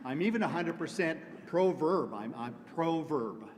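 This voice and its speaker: A man's voice: a little high, a little slow, a little hiss or hum. A man doing a playful, pun-filled announcement skit in front of a congregation. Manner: punning, playful deadpan. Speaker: congregation member presenting an announcement. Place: large church sanctuary. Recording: long hall reverb.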